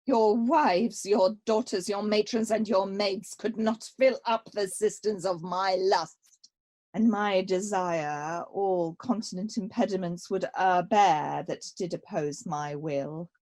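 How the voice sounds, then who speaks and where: Woman playing a slow, grave young exiled prince. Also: medium pitch, steady tone, a little mellow, natural voice. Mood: self-accusing, intense. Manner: deliberate, dramatic verse delivery with weighty pauses. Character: young exiled Scottish prince, grave, self-accusing, testing. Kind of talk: radio play Shakespeare acting.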